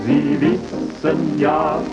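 A man's voice: fairly high pitch, little pitch movement, drawn-out words. A man singing a rhyming advertising jingle from a 1930s radio broadcast, in a sing-song delivery. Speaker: jingle singer. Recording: dull archive radio recording with loud music.

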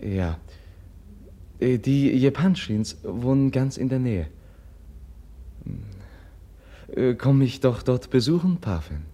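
An actor in a German radio play, playing a gentle, frail young prince who speaks softly, earnestly and hesitantly. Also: male voice, mid-range voice, lively intonation, a little slow. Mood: hesitant, polite. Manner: soft, hesitant, searching.

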